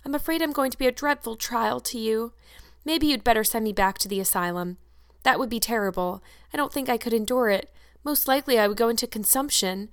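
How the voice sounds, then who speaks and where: A woman's voice: fairly high pitch, a little fast, clear, resonant tone, dry recording, clean studio sound, lightly acted. A woman voicing an anxious, dramatic orphan girl in a storybook, gushing and earnest with old-fashioned long words. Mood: tearful. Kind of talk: audiobook character acting.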